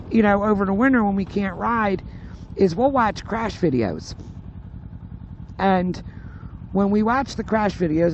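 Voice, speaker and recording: A middle-aged woman vlogger chatting casually in a rambling, conversational way over a helmet intercom while riding a motorcycle. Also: medium pitch. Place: outdoors on a moving motorcycle. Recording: muffled helmet intercom, loud road noise.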